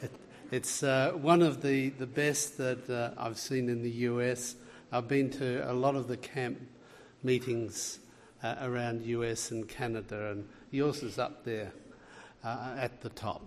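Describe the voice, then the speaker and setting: A man's voice: mid-range voice, fairly even intonation, a little slow. A middle-aged man with an Australian accent, giving an earnest lecture to a church audience, with frequent fillers and restarts. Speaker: guest speaker, health researcher. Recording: long reverb of a large hall.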